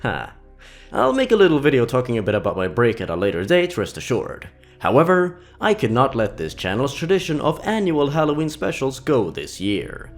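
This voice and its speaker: A man hosting and narrating horror stories in a scripted, deliberate and dramatic storytelling delivery. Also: medium pitch, huge pitch swings, faint music behind.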